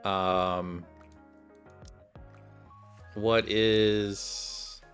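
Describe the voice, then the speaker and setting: Deep-voiced man casually commentating a game. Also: flat delivery, extremely slow, fairly bright tone, faint music behind. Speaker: gaming streamer.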